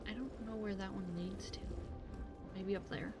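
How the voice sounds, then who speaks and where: Woman, game streamer, casual live commentary, mid pitch, clear game music behind. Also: fairly even intonation, moderate pace.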